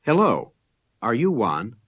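A man's voice: medium pitch, wildly swooping pitch, fairly bright tone, dull audio, exaggerated voice. Man playing a polite office visitor. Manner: slow, clear, polite. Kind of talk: scripted textbook dialogue.

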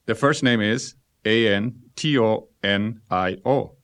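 A man's voice: lowish voice, slow pace, fairly bright tone. Man playing a brief-answering young businessman. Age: 20s. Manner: short plain answers. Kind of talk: scripted textbook dialogue.

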